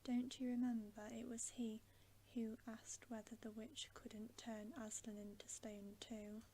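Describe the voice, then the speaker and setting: A woman voicing an anxious, tender little girl, hushed and plaintive, in a whispered bedtime story reading. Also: on the high side, level tone, a little slow, a little hiss or hum, close to an everyday voice. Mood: miserable.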